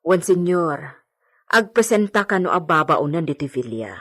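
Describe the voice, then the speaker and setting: Actress as a low-pitched, fretful Ilocano housemaid. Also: female voice, very animated, moderate pace, fairly bright tone. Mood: deferential. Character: deferential, fretful household maid, Manang Pedrita. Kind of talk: radio drama acting.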